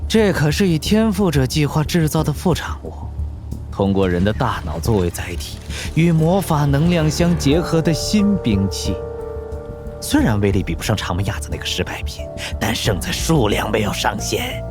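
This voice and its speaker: 男演员演绎的阴险癫狂的科学家反派，语调大起大落. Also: male voice, mid-range voice, very animated, music in the background, lightly acted. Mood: smug taunting. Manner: theatrical, drawn-out taunting with cackles. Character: sinister sadistic mad scientist villain, gleeful and mocking. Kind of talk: animated audio drama acting.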